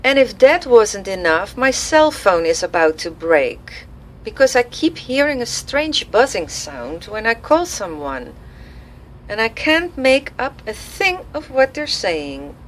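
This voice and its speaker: A woman's voice: a little high, sing-song, slow pace, a little hiss or hum, pitched above the performer's own voice. A woman voicing a stressed, grumpy older female receptionist who complains in exasperation. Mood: exasperated.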